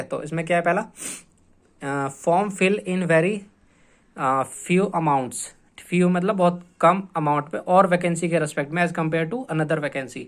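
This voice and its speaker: A young man giving an informal point-by-point lesson as an online exam-prep host, repeating himself and often checking in with listeners. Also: fairly high pitch. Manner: repetitive, often checking in with "okay" tags.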